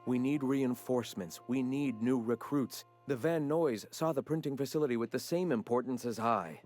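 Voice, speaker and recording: An actor playing a solemn, preaching male faction leader with a measured, sermon-like delivery. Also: mid-range voice, a little mellow, faint music behind, close to an everyday voice. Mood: preachy.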